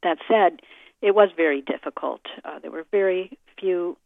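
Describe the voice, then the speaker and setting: A middle-aged American woman giving reflective interview answers in a measured, deliberate way, pausing often as she thinks. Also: on the low side, gravelly, no echo. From United States. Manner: measured, deliberate, with frequent pauses. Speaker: interviewee, concert pianist. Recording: muffled narrow-band phone or radio-like sound.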